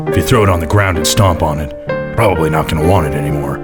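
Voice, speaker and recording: An actor playing a boozy, crude, surly detective in a deadpan hardboiled noir parody, grumbling gruffly. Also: male voice, rumbling low, fast, over loud music. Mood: world-weary deadpan. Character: boozy, crude, surly pill-popping male private detective.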